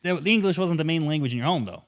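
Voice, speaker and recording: A young man hosting a casual podcast, asking his mother quick, probing follow-up questions. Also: on the high side, expressive.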